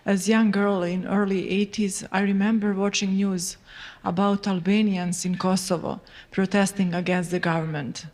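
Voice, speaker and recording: This is a woman in her forties from Serbia, telling her story calmly and deliberately in non-native English. Her voice sits at a middle pitch. A long reverberant tail hangs on every phrase. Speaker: interviewee. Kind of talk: formal interview testimony.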